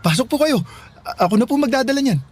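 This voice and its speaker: A man's voice: very high-pitched, expressive, fast talker. An actor playing a polite, bashful young man who proposes in a radio drama.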